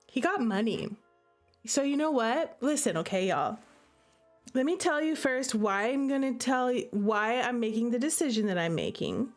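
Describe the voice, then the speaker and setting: A woman's voice: a little high, animated, moderate pace, faint music behind. A woman giving casual livestream commentary, chatty, playful and gushing, punctuating her points with emphatic check-ins to her chat. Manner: emphatic, repeats "okay" and "listen" as tags. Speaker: game streamer reacting with live chat.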